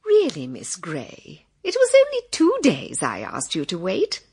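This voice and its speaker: A woman's voice: fairly low pitch, wildly swooping pitch, a little slow, creaky, a little mellow, slightly rough, full character voice. An actress playing a haughty middle-aged mother and employer, lecturing condescendingly in a period audio drama. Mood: cold, scolding. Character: haughty, unfeeling upper-class mother and employer.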